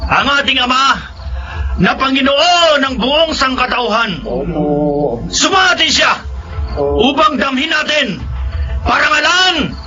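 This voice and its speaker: Commanding male faith healer, declamatory, solemn, horror radio drama, high register, prominent music. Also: sing-song, slow, long pauses, bright, forceful tone, heavily acted. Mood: fervent, commanding. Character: imperious male cult faith healer preaching fervently. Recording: muffled audio with music behind.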